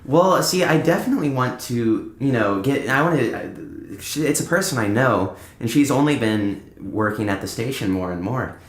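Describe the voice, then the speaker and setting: A man's voice: medium pitch, animated, moderate pace, few pauses. Echoey man chatting casually. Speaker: podcast guest, band musician. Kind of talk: casual conversational interview, telling anecdotes. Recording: roomy, echoing indoor sound.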